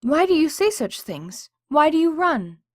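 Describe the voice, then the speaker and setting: A woman's voice: high voice, sing-song, measured pace, dry recording, studio quality. Woman voicing a pleading pony spirit. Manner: formal, pleading. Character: earnest, servile female winged pony spirit. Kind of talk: fan audio drama voicing.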